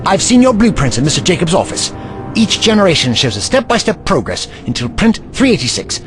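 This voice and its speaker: Man to play theatrical alien doctor for audio drama: commanding, protective, hugely animated delivery, faint score behind. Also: sits high, fairly quick, over music. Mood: urgent, demanding. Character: urgent, protective male alien doctor and investigator.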